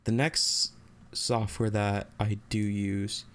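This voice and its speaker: A man hosting a casual, unscripted software tutorial, thinking aloud in a halting way as he goes. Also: on the low side, little pitch movement.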